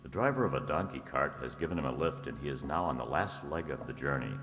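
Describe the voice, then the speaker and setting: An extremely low male voice delivers formal, measured radio announcements and narration in 1940s style. It rings in an echoey hall with long reverb. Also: expressive, a bit bright.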